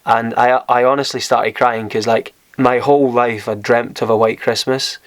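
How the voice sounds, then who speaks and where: A man's voice: medium pitch, fairly even intonation, normal speed, slight background noise. A young Scottish man vlogging, telling a personal story to camera in a candid, rambling way. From Scotland. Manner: rambling, candid, many fillers and restarts.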